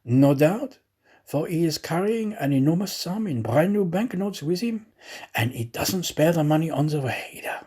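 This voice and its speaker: Man playing a chatty, excitable, high-pitched manservant. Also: moderate pace, slightly rough. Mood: chatty, confiding. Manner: chatty, emphatic, indignant. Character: talkative, excitable, naive French manservant.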